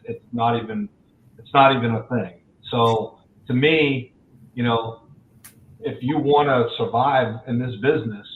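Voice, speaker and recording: A man's voice: medium pitch, slight background noise. A man in his forties, a loan officer guest on a podcast, speaking candidly and reflectively. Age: 40s. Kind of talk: podcast interview. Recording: echoey, tinny remote call audio.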